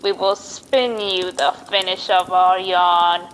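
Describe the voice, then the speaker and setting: A woman's voice: lowish voice, level tone, slow, a bit bright, slight background noise, rough recording. A woman playing a sly, hundred-year-old witch who tells spooky tales in folksy rural dialect, in old-time radio style. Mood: sly, gleeful. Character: cackling ancient witch, rustic storyteller, aged over a hundred. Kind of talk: old-time radio horror host acting.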